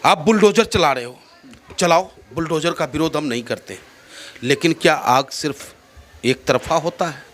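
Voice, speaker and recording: A man's voice: fairly high pitch, normal speed, a bit bright. A man from India with a North Indian accent, speaking in an impassioned, oratorical way as a political interviewee, emphatic and repeating phrases for effect.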